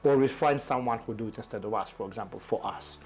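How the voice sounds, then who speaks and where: A man in his forties with a Russian accent, speaking English as a second language in a halting, casual conversation on an outdoor balcony. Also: lowish voice, a little mellow. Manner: halting, restarts often, non-native grammar. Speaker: tea company host. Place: covered balcony outdoors, forest behind.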